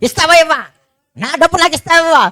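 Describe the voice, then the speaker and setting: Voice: extremely high pitch, rapid-fire, sharp tone. A performer voicing a spoiled, impatient young son in a children's puppet show, whining and insisting on what is wanted. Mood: demanding, impatient. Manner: whiny, insistent, repetitive demanding.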